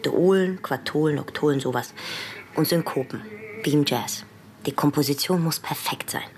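A woman's voice: low-pitched, normal speed, darkish tone, lightly acted. An actress playing an ambitious, exacting young female violinist in a radio drama, speaking precisely in clipped phrases. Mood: determined. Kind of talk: German radio drama acting.

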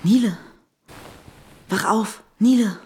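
An actress playing a worried, caring friend in a German radio drama, speaking in natural dialogue. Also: female voice, mid-range voice, takes it slowly, dark tone, soft background music, lightly acted. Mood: gentle worry. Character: worried, caring friend tending a panicked woman.

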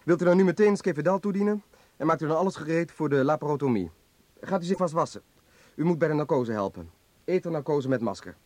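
An actor as a brisk, decisive male surgeon. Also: fairly high pitch, animated, a little mellow, dry recording, lightly acted. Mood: businesslike. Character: brisk, self-assured male hospital surgeon. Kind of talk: radio play acting.

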